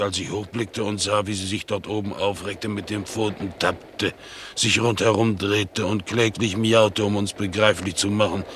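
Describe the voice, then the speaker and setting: A man playing a naive, excitable bulldog in a radio play, telling his tale expressively and mimicking the people he quotes. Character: excitable, naive male bulldog, chattering about his household. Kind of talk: German radio play acting. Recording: clearly noisy, train rumble behind. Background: vehicle rumble.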